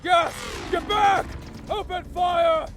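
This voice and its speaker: An actor playing a frantic fighter barking orders in an audio drama battle. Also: male voice, very high-pitched, steady tone, slow pace. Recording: loud music and gunfire.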